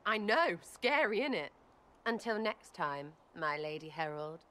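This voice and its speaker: A scrappy young English rogue woman, cheeky and foul-mouthed. Her pitch leaps about in a lively way and the tone is bright and forceful, every remark blunt and slangy. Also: unhurried, exaggerated voice. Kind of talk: video game character acting.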